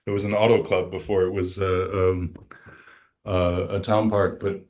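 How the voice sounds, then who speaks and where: A middle-aged man from western New York, a musician guest, talking casually and haltingly with many restarts. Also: little pitch movement, a little mellow. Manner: deep-voiced, halting, restarts often. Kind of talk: casual chat.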